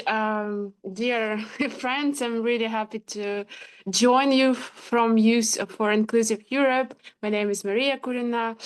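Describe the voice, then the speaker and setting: A woman's voice: fairly high pitch, clear, resonant tone. A young woman from Ukraine with a Ukrainian accent, speaking earnestly on an online panel with many hesitations. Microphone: video call.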